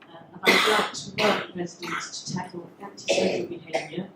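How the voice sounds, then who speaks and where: A woman from England with a fairly low voice gives a hesitant campaign speech, often pausing on fillers. She stands well back from the mic in a very echoey school hall. Clear background noise runs under her. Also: steady tone, sharp tone, far from the mic. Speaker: local election candidate. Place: school hall at a public meeting.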